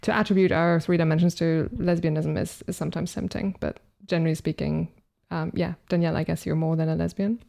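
Podcast host lady, flat mellow tone, dry teasing humor. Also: deep voice, fast talker, creaky, few pauses. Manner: wry, teasing. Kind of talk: casual chat.

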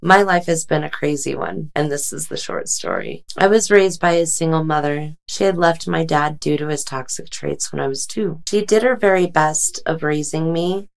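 A woman's voice: low voice, moderate pace, vocal fry, few pauses. A woman giving a scripted personal testimony. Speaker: testimony giver.

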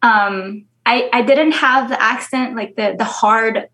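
A woman's voice: a little high, slow, a bit bright. Chatty young woman from the Philippines. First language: Filipino. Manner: candid, chatty, laughs often. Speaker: guest. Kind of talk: casual interview conversation.

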